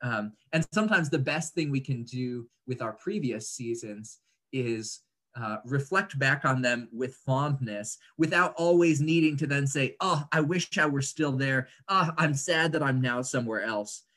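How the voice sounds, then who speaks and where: A man in his thirties with a mid-pitched voice speaks as an interview guest. He is reflective and articulate. He often pauses partway through a sentence to choose the right word. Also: a bit bright. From Indonesia, grew up there as an American third culture kid. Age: 30s.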